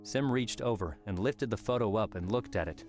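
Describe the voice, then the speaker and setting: A man with a very low-pitched voice narrates an audiobook. He reads scripted story prose, and quiet music plays underneath. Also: expressive, moderate pace.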